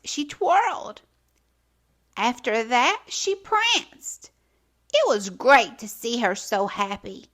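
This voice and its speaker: A woman hosting a children's storytime, reading aloud expressively with theatrical, deliberate phrasing. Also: sits high, a bit bright. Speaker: children's storytime host and narrator.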